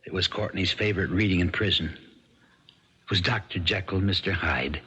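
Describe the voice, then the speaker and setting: Male, firm but humane lawman, raspy lower register, vintage 1970s radio drama. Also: normal speed, bright, forceful tone, heavily acted. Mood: earnest testimony. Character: steady small-town sheriff, firm, lawful, compassionate. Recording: dull 1970s radio broadcast recording.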